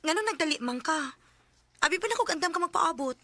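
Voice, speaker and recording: Coy, high-voiced young student girl. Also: animated, quick pace, pushed and bright, exaggerated voice. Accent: Cebuano Filipino. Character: sweet, coy young female student, dutiful daughter. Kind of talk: Bisaya radio drama acting.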